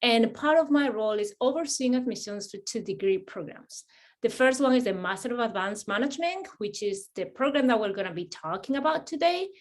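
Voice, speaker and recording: A woman's voice: on the high side, animated, brisk. A middle-aged woman from Spain with a Spanish accent, hosting an admissions webinar in a friendly way, with many fillers and restarts. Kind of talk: webinar presentation.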